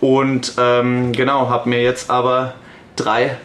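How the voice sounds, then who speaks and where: A man in his thirties, a band's singer and songwriter, talking in a relaxed, casual way in an interview. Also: mid-range voice, takes it slowly, echoey room. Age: 30s.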